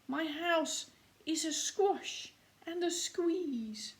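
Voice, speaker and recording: A woman's voice: very high-pitched, animated, drawn-out words, pitched above the performer's own voice, heavily acted. A woman voicing a flustered, complaining little old lady from a children's storybook, pleading and exclaiming in a plaintive way. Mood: distressed, pleading. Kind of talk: children's storybook read-aloud.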